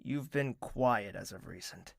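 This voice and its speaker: A man playing a brooding, guarded young prince with a curt, measured delivery in an audio drama. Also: medium pitch, gravelly, no room sound, clean studio sound. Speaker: narrator and lead.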